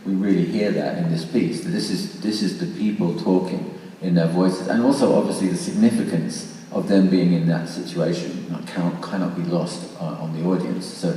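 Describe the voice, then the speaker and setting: A man's voice: deep voice, fairly even intonation, normal speed, mellow. A man speaking informally and thoughtfully, explaining his views in a panel discussion. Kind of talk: spoken panel commentary, informal. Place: large reverberant room at a public discussion. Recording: very echoey hall, distant mic, faint noise.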